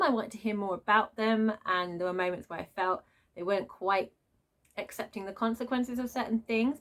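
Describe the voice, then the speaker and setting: A woman vlogger talking off the cuff in an emphatic, expressive, informal way, like a book reviewer ranting to viewers. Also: fairly high pitch. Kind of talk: unscripted opinionated rant to viewers.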